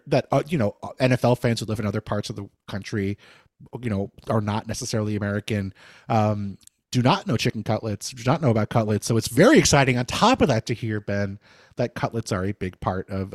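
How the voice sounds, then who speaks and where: A man from New York hosting a sports podcast, talking in an animated, chatty way full of "like" and "you know". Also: medium pitch, no room sound, studio quality. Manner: animated, chatty, many fillers like "like". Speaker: podcast host. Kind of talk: casual sports podcast chat.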